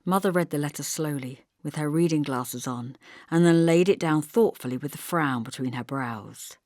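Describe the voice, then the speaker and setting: A woman narrates a literary audiobook in a fairly low voice. Her storytelling is measured and expressive, and the recording is close and dry, with no room sound. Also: dry recording. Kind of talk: scripted literary narration, first-person storytelling.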